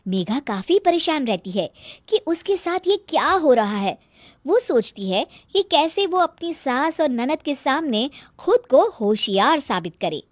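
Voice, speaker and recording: A woman telling a moral story for a cartoon in clear, expressive storybook narration. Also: high-pitched, a little slow, dry recording.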